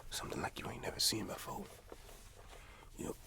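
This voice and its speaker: Man acting a whispering, spooked farmer. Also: lightly acted. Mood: uneasy, hushed. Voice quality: breathy whisper. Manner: slow folksy storytelling, repeating phrases. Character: rural farmer man, spooked, troubled, God-fearing. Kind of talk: whispered ASMR roleplay monologue.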